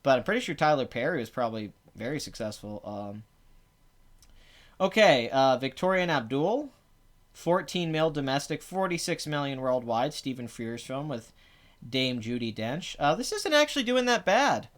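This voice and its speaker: Raspy guy w a clogged throat rambling blunt box office takes, sarcastic, kinda phlegmy. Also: medium pitch, big pitch swings, normal speed. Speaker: box office show host. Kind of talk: casual live commentary.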